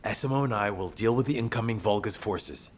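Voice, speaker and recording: A man's voice: fairly low pitch, a little fast, slight background noise, close to an everyday voice. A man voicing an urgent, resolute squad leader in a video game, earnest and commanding. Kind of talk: scripted game dialogue.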